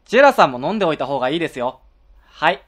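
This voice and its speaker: A man's voice: on the high side, big pitch swings, racing, fairly bright tone. A man voicing a friendly, oblivious male office coworker in a comedy radio drama, talking casually. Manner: casual, easygoing talk.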